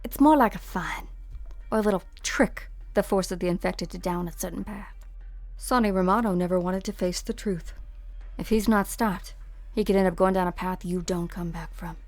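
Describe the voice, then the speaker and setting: A woman's voice: lowish voice, moderate pace, faint music behind, close to an everyday voice. A woman voicing a jaded, hard-bitten police officer in a game fan dub. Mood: bitter, resigned.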